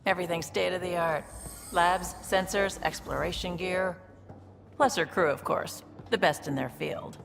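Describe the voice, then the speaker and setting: An actress playing a brisk, confident, no-nonsense ship officer in a video game, businesslike and matter-of-fact. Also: female voice, on the low side, steady tone, gravelly, fairly bright tone, soft background music. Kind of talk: video game cutscene acting.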